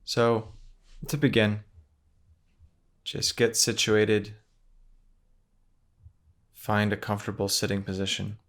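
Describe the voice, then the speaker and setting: Male meditation guide, lowish pitch, very unhurried delivery, gentle and measured with generous pauses. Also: level tone. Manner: gentle, measured, with long pauses between phrases.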